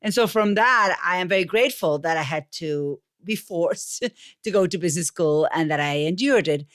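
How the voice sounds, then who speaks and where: Woman, forties, Argentine Spanish accent, lower register, dry close sound, conversational podcast guest. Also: normal speed, a bit bright, no echo. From Buenos Aires, Argentina. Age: 40s. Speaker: podcast guest, communications coach. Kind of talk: conversational storytelling interview.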